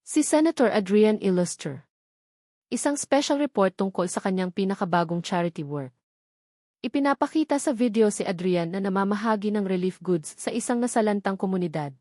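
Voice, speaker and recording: A woman reads a dramatic love story aloud in a steady, measured way. Her voice sits at a middling pitch. The recording is dry and close, with no room echo. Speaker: story narrator. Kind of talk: scripted storybook narration.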